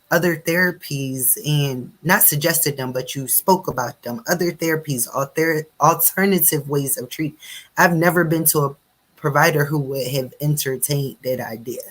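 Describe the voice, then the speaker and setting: Low-voiced, enthusiastic woman host. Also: fairly bright tone, rough recording. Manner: enthusiastic, casual, uses "like" often. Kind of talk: casual panel conversation.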